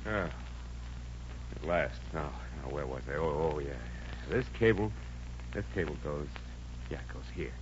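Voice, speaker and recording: A man's voice: a bass voice, a little slow, pauses often. An actor playing a harried, irritable American draftsman in a 1950s radio comedy, flustered and muttering to himself. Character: harried, irritable man trying to finish an engineering sketch. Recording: muffled, noisy old radio recording.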